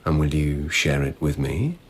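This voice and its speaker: An actor playing a probing, restrained police lieutenant in a radio drama, with a husky voice and measured, insistent questioning. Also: male voice, rumbling low, lively intonation, exaggerated voice. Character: male police lieutenant, probing, restrained investigator in old Japan. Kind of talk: naturalistic radio drama acting.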